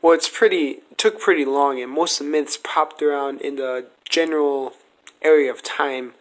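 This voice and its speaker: A man's voice: on the high side, moderate pace, rough recording. A man hosting a podcast, asking questions in a casual interview style.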